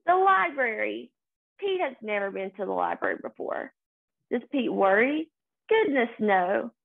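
A young adult woman reading a children's picture book aloud in a sing-song, deliberate way, recorded indoors. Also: fairly high pitch, sing-song, gravelly, husky. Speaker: story reader. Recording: phone-like, narrow-band sound.

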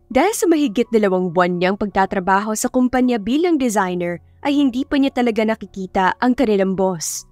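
A woman's voice: medium pitch, darkish tone, no echo. A woman with a Filipino accent narrating a scripted story in a dramatic storytelling style. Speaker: audiobook narrator.

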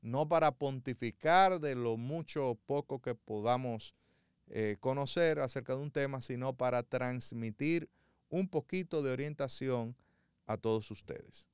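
A man giving measured, didactic legal commentary, pausing often with fillers as he explains. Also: mid-range voice, clear, resonant tone, no echo, clean studio sound. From Dominican Republic.

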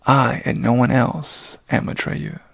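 A man voicing a calm, proud young boy hunter, speaking firmly and briefly in a gravelly voice. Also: mid-range voice, a little slow, no room sound, full character voice.

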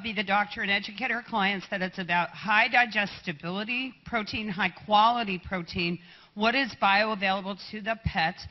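A woman veterinarian on a conference panel, making her points in an emphatic, forceful list. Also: medium pitch. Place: conference hall stage. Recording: slightly muffled hall audio.

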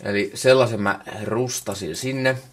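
A Finnish man with a low voice walks through music software in a casual, off-the-cuff way. He talks colloquially and mutters little asides to himself as he works. He is picked up by a camera mic indoors at a computer. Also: fairly even intonation. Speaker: music production tutorial maker. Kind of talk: casual unscripted software walkthrough. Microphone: camera microphone.

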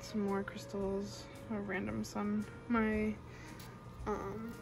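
A woman's voice: mid-range voice, little pitch movement, takes it slowly, creaky, over music. A young adult woman chatting casually as a vlogger in a bedroom at home. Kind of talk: casual vlog chat.

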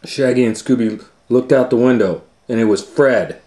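A man reading a children's storybook aloud as a storytime host, with dramatic, drawn-out, expressive phrasing. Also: medium pitch. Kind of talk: animated storybook narration.

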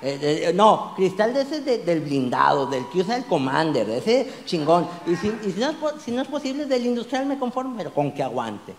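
Animated comedian with a high voice. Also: male voice, moderate pace, few pauses, big-room sound, slight background noise. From Mexico. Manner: animated, gesturing broadly, crude slang, rising to shouts on punchlines. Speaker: stand-up comedian. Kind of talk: stand-up comedy routine. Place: small club stage with audience. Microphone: handheld mic.

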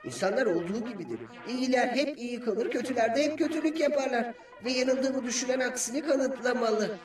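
A man's voice: very high voice, a little fast, roomy sound, far from the mic, soft background music. A man voicing a small, cheeky, stubborn wizard creature, chattering like a know-it-all in a dubbed cartoon. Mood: stubborn, smug. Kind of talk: dubbed cartoon comic sidekick acting.

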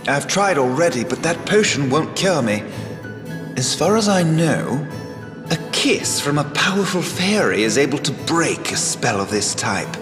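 Sly, slow-spoken enchanted frog. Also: male voice, fairly high pitch, big pitch swings. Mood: sly, matter-of-fact. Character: cursed talking frog, once human, sly and suave. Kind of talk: scripted video game voice acting. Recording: roomy hall reverb, music underneath.

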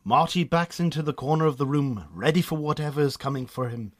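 Higher-pitched Northern Irish male storyteller. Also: fairly quick. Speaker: narrator. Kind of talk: scripted radio drama narration.

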